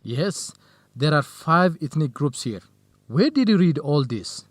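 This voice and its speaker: A man's voice: fairly high pitch, very animated, measured pace, no room sound. An actor playing an earnest, grateful young Ethiopian male research assistant in an educational radio drama. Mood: helpful, calm.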